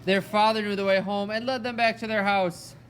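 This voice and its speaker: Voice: medium pitch, fairly even intonation, fairly quick. A storyteller narrating a fairy tale for a children's puppet show. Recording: loud music alongside the voice. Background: music.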